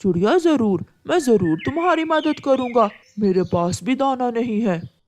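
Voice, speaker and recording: A woman's voice: a little high, animated, a little slow, darkish tone, a little hiss or hum. A woman voicing a helpful, concerned male pigeon in a cartoon, earnest and full of advice.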